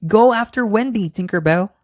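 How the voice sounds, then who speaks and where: An eager storybook boy hero, as an adult narrator puts him on, bold and adventurous. The voice jumps well up in pitch and exclaims with excitement, in a dry, close sound. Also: moderate pace, no echo, close to an everyday voice. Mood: urgent.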